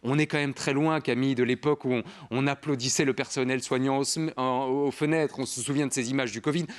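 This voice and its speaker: A man hosting a studio talk show, emphatic and lively as he presents. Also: mid-range voice, quick pace.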